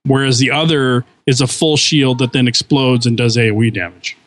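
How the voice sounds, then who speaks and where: Guy on a podcast breaking down game stuff in detail, dry close mic, middle pitch. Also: fairly even intonation, moderate pace, dry recording. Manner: detailed, explanatory. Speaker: podcast guest. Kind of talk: casual podcast chat.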